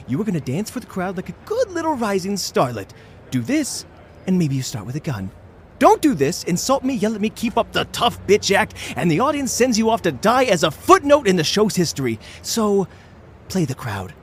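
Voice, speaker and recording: Flamboyant, high-pitched cynical game show host. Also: male voice, fairly bright tone, slight background noise, heavily acted. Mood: menacing, condescending. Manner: theatrical, condescending, drawn-out showy delivery. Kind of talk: bombastic TV game show presenting.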